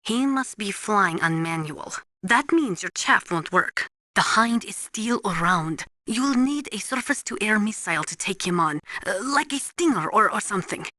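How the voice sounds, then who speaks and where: A blunt female military analyst in a video game. Her voice is bright and forceful at a middling pitch, recorded very dry. She gives precise, matter-of-fact instruction like a weapons expert. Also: lively intonation, moderate pace, few pauses, dry recording. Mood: matter-of-fact, urgent. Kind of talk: video game radio briefing.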